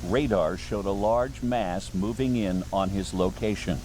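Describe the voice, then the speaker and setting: A grave documentary narrator for an analog horror film, played by a man. His low voice is measured and formal, reading a scripted report under very heavy background noise. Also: lightly acted. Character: solemn male documentary narrator of monster disaster. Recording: very noisy analog horror soundtrack.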